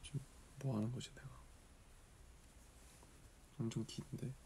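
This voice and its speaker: A man's voice: mid-range voice, fairly even intonation, measured pace, dark tone, slight background noise. A man in his twenties with a regional Korean dialect accent, hosting a casual late-night phone livestream in a dim room, drowsy and meandering as he mumbles to himself. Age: 20s. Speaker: idol livestream host. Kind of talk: casual solo livestream chat with viewers. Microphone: phone camera at arm's length.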